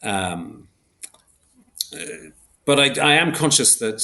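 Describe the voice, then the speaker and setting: A man's voice: medium pitch, very animated, slow pace, creaky, bright, forceful tone. A man speaking as an academic interview guest, thinking aloud in a hesitant, self-correcting, reflective way. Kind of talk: thoughtful interview discussion.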